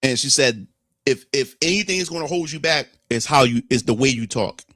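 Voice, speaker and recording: A young adult man with a Philadelphia accent, telling a story in a casual, animated monologue, swearing freely and often restarting his phrases. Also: medium pitch, fairly quick, no echo. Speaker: streamer.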